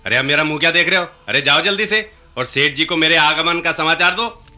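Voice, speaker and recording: Sly, bright-voiced schemer. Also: male voice, on the high side, brisk, faint music behind. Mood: bossy, cagey. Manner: oily, chuckling, blustering, obsequious to superiors. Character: sly, scheming, fawning male matchmaker brother. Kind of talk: Hindi radio play acting.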